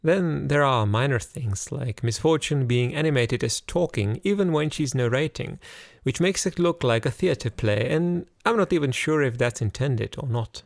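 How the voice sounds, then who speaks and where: A male game reviewer reads a scripted narration. His intonation moves up and down a lot, with a gravelly fry in the voice. The sound is close and dry. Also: mid-range voice, expressive, moderate pace, no echo.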